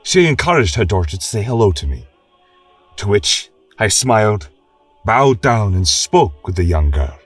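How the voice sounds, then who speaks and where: A horned fantasy veteran, voiced by a man, gentle and reflective, speaks his journal in a husky, earnest voice. The pitch moves expressively through deliberate phrases. He sounds a little far from the mic, with music underneath. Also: sing-song, dry recording. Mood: earnest, troubled. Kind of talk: scripted reading of a journal. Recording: distant mic with music behind.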